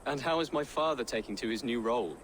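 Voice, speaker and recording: A man's voice: medium pitch, expressive, fast talker, a little hiss or hum, lightly acted, poor audio. An actor playing an earnest, courteous young nobleman in a video game. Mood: curious. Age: young adult. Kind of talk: video game cutscene acting.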